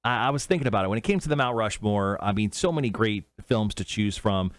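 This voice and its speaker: A man co-hosting a podcast, chatting casually about movies in an informal, emphatic way with some drawn-out words. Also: on the low side, expressive, no echo. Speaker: podcast host.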